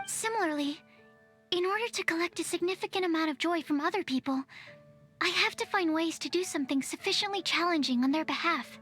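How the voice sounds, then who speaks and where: A woman's voice: very high-pitched, a little fast. A woman playing a shy, bookish young female alchemist in a video game, hesitant and precise like a scientist. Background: game music.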